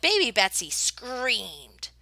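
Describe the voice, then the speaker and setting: Animated woman reading a children's storybook. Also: fairly high pitch, vocal fry, no echo. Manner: animated, deliberate phrasing for young listeners. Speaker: teacher reading a picture book aloud. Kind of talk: expressive children's storybook narration.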